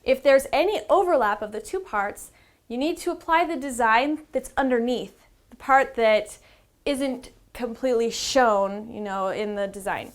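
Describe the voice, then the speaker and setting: A woman with a somewhat high voice gives a how-to demonstration. She explains each step informally, like an instructor talking a learner through a task. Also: unhurried. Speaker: instructor presenting a how-to series.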